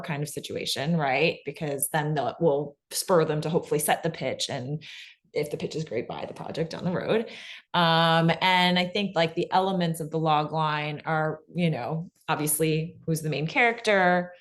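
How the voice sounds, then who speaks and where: A woman speaking as an expert guest in a casual panel talk, explaining things informally and often saying "like" and "you know". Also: deep voice. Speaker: expert guest on pitching audio drama.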